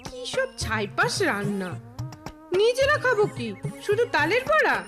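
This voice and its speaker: Shrill, bouncy cartoon sidekick. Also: female voice, huge pitch swings, a little slow, over music, heavily acted. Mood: grumbling. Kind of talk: children's cartoon story acting.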